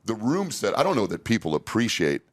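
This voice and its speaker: A man's voice: on the low side, huge pitch swings, brisk. An older man hosting a casual podcast conversation, speaking candidly as an experienced safety trainer.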